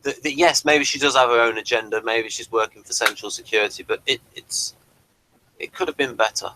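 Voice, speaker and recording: A man's voice: mid-range voice, steady tone, a little fast, background noise. A man hosting a review discussion, talking in a hesitant, digressive way as he explains things. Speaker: host of a TV review show.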